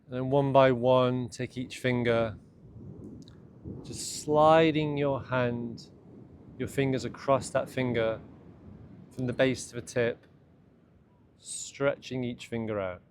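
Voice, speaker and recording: A man leads a guided morning meditation and self-massage in a gentle, unhurried, soothing way, speaking in short phrases. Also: medium pitch. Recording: clear steady background ambient noise.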